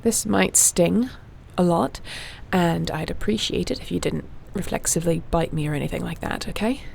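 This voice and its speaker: Gravelly, low-voiced gentle warrior woman, acted by a woman. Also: moderate pace, a little hiss or hum. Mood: wry, reassuring. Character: gentle, wry woman warrior shifter with healing magic. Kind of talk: fantasy audio roleplay, intimate one-sided dialogue.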